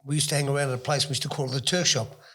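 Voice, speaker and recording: A man hosting a casual chat, telling jokey, excitable anecdotes. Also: mid-range voice, rapid-fire. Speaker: host.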